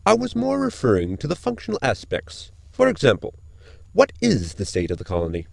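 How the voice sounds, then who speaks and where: A man's voice: mid-range voice, huge pitch swings, fairly quick, vocal fry, a little mellow, no echo, exaggerated voice. A man playing a cautious, fussy spaceship crewman in a sci-fi comedy audio drama, formal and hesitant. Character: Peter, cautious, fussy male shipmate.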